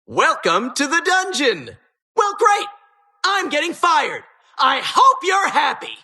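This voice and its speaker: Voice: high-pitched, big pitch swings, slow pace, bright, forceful tone, full character voice. A performer voicing a sardonic, menacing dungeon host in a video game, with dramatic mock-cheerful patter. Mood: exasperated. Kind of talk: video game character voice lines.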